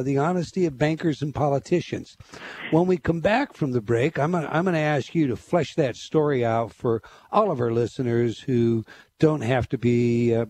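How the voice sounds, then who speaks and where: A man's voice: mid-range voice, dark tone. A man hosting a talk radio show, introducing a guest and reading promotions with frequent hesitations.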